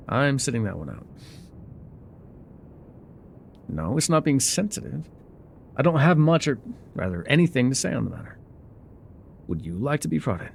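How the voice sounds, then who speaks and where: An actor playing a gruff, guarded male trail guide in an immersive audio roleplay, with wry, folksy, laconic phrasing. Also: medium pitch, slight background noise. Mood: guarded, dry. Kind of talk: immersive ASMR roleplay acting, talking to the listener.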